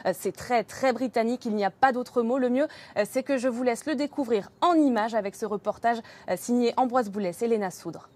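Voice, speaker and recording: A woman correspondent with a fairly high voice. She gives a live, semi-spontaneous report, often pausing on filler sounds as she explains. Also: a little mellow. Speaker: foreign correspondent.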